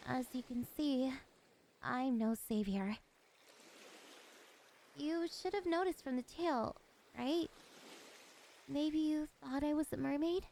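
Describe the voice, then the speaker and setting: A woman playing a lonely, possessive, predatory siren in an ASMR roleplay, speaking softly and gently in a weak voice with quiet menace. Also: sits high, vocal fry, a little hiss or hum, exaggerated voice. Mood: teasing. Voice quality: soft, weak voice.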